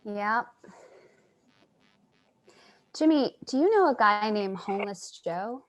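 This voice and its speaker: Woman playing earnest, gentle young writer, medium pitch. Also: animated, slow. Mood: sympathetic. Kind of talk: staged reading with first-person narration.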